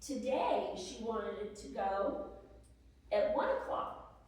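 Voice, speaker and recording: A woman dramatically reading letters as a plainspoken, hardworking Civil War soldier's wife, worried but practical, homely and deliberate. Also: mid-range voice, expressive, a little mellow. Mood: newsy. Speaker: reader at a historical presentation. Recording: echoey room, distant microphone.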